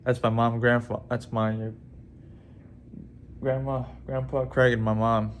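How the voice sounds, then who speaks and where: A man in his twenties talking casually to his viewers in a halting way, with many restarts and repeated words. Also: medium pitch, level tone, slight background noise. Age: 20s. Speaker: vlogger. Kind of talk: casual vlog talk to viewers.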